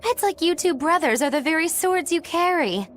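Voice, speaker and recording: Very high, cheeky girlish companion. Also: animated, moderate pace, a little hiss or hum. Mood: theatrical. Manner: teasing, theatrical, pointed. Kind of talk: English video game dub acting.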